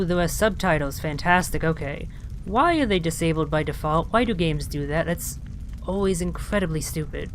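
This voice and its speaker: A let's player giving casual video game commentary, musing out loud and reading game text aloud. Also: medium pitch, slight background noise. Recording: game music behind the voice.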